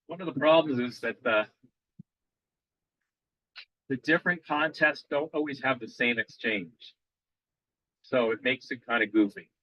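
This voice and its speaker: A man's voice: medium pitch, no echo, muffled recording. A man giving a live demo at an amateur radio club meeting, rambling with frequent restarts and quizzing the audience.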